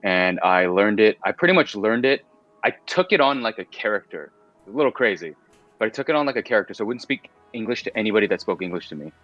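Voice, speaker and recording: Low-voiced man telling stories, dry sound. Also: fast talker, no echo, muffled recording, faint music behind. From United States. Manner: animated storytelling, repeats words. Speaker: voice actor guest. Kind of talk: casual interview chat.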